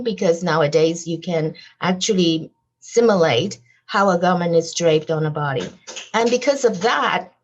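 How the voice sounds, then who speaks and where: A woman's voice: fairly low pitch, highs cut off. A woman guest on a podcast interview, talking animatedly about her design business with frequent fillers and light laughs. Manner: laughs lightly as she talks.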